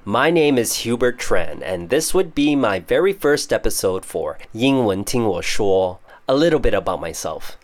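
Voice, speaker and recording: A man's voice: on the low side, big pitch swings, normal speed, few pauses. A young man with a Canadian accent hosting a podcast, casually explaining English idioms with jokes. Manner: casual, joking, explains terms. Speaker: podcast host. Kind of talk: scripted podcast monologue teaching English idioms.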